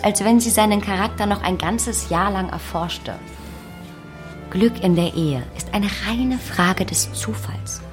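A woman's voice: medium pitch, lightly acted. An actress in a German radio drama playing a pragmatic, unromantic young woman, a frank and knowing confidante. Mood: frank, resigned. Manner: matter-of-fact, frank, knowing. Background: music.

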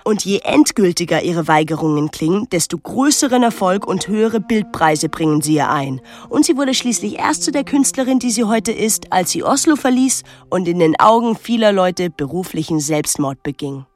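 An actress playing an observant young island woman, narrating a literary radio drama in a measured, lyrical way. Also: on the low side, lively intonation, darkish tone, close to an everyday voice. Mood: reflective. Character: observant, reflective young island woman recalling her life. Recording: music under the voice. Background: music.

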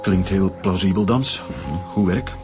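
An actor playing a demanding, formal middle-aged police chief, probing and authoritative, with a Flemish accent in a radio drama. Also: male voice, low-pitched, a little slow. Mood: formal, measured. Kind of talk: naturalistic radio drama dialogue. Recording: radio drama with music bed.

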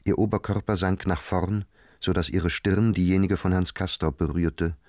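Low-voiced male radio drama narrator. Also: steady tone, moderate pace, a little mellow, dry recording, clean studio sound.

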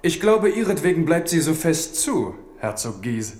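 An actor playing a cool, wry young royal bridegroom in a radio drama, with dry retorts. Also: male voice, on the high side, very animated, moderate pace, darkish tone. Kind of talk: German radio drama acting. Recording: echoey hall with noise.

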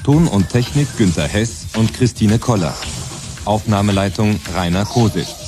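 A man's voice: on the low side, moderate pace. A man reading radio drama credits as a formal scripted broadcast announcement. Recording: music behind the voice.

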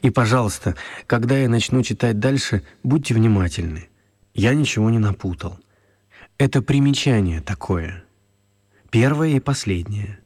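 A male actor as the storyteller of a children's radio play, narrating expressively in a husky voice. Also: lowish voice, lively intonation, moderate pace, a little mellow. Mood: storytelling.

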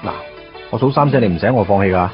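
A man's voice: lowish voice, very fast, natural voice. An actor playing a wry, put-upon young man in a Cantonese radio drama, conversational and self-mocking with a Hong Kong accent. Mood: exasperated. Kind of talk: radio drama narration and dialogue. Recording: dull radio recording with music underneath.

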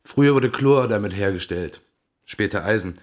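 A man's voice: lowish voice, expressive, normal speed, natural voice. A man playing a gloomy but courteous industry expert in a radio play dialogue. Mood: glum, explanatory.

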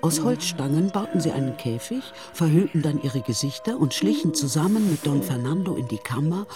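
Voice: mid-range voice, expressive, brisk. A storyteller reading scripted literary narration for a radio drama, in the past tense. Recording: music behind the voice.

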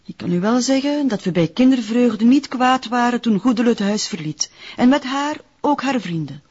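An actress playing a guarded, stern nun who runs a convent, speaking formally and in a measured way with a Flemish accent, in a detective drama. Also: female voice, on the high side, animated, a little mellow, husky, highs cut off. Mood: measured, confiding. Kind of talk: naturalistic detective drama dialogue.

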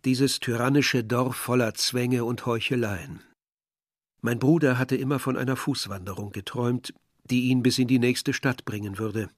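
An actor playing a reflective village man, narrating a literary radio play in a measured, bitter tone. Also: mid-range voice, fairly even intonation, dry recording, close to an everyday voice. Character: reflective Algerian village man recalling war and dead brother. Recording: dry studio, faint music behind.

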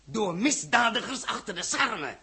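Een acteur die in een hoorspel uit de jaren zestig een felle, verontwaardigde ruimtekapitein speelt, gepassioneerd en beschuldigend. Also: male voice, high-pitched, very animated, moderate pace, bright, forceful tone, husky, exaggerated voice. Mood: urgent, demanding. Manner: impassioned, accusatory, rhetorical. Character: fiery, indignant male space captain confronting the government. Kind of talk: 1960s Dutch radio drama acting.

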